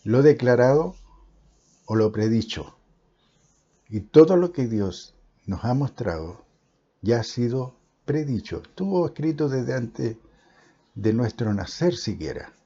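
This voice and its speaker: Emphatic preacher man, dark husky voice. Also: medium pitch. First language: Spanish. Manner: emphatic, declaiming with pauses. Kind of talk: Bible teaching sermon. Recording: dull lo-fi recording.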